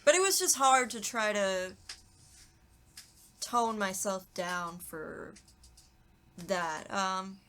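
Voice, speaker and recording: A young adult woman, a voice actress guest, chatting casually in an animated, giggly, self-deprecating way. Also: medium pitch, slow pace, pauses often, a little hiss or hum. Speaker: voice actress guest on a podcast talkback. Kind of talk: casual chat.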